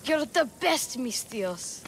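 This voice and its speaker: A woman playing an eager, cheeky little boy in a video game, excitable and quick to interrupt. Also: sits high, heavily acted. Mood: hopeful, excited. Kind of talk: video game cutscene acting. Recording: game audio with faint background noise.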